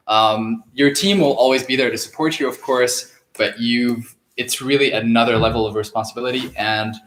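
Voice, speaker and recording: A man's voice: mid-range voice, fairly bright tone. A young man from Syria, a product designer, explaining his thoughts steadily in a casual panel. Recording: echoey room.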